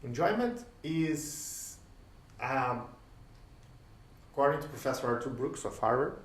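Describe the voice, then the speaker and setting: A man whose first language is Portuguese, musing aloud as host in a reflective solo talk, pausing often and posing questions to the listener. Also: medium pitch, expressive. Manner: thoughtful, pauses often, rhetorical questions. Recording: roomy, distant from the mic, faint ambience.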